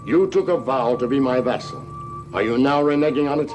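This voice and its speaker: An actor playing an imperious older count in a gothic soap, with a formal, stately, haughty delivery. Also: male voice, mid-range voice, fairly quick, highs cut off, close to an everyday voice. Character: imperious old count, commanding and secretive. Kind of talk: 1960s-70s gothic TV soap acting. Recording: old TV soundtrack with music underneath.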